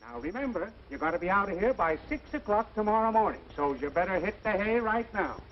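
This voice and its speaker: A man's voice: sits high, expressive, brisk. A man acting a slick hustler with glib sales patter in a 1940s radio comedy. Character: slick, fast-patter hustler renting out a bed. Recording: muffled old radio recording, faint noise.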